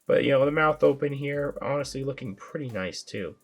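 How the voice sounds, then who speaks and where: A man hosting a casual toy review, his voice raspy from a sinus infection, talking in a relaxed, rambling way with filler words. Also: mid-range voice, brisk, dull audio. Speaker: toy reviewer, channel host.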